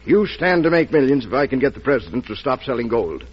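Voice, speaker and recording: Husky, eager schemer, old radio. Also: male voice, mid-range voice, sing-song, fast. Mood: anxious, scheming. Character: eager, scheming brother-in-law of a president. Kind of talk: old-time radio drama acting. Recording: dull old radio broadcast.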